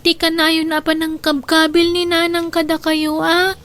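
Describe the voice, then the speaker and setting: Woman playing young granddaughter, high voice, distressed, earnest, protective, pleading, radio drama. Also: monotone, measured pace, fairly bright tone. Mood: worried, earnest. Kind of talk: Ilocano radio drama acting.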